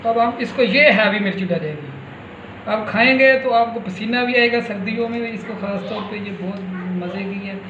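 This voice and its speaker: A man's voice: sits high, moderate pace. A man casually demonstrating a recipe, explaining it step by step and repeating himself. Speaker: home cook presenting a recipe. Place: small hard-walled home kitchen. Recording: dull, echoey phone recording, loud sizzling noise.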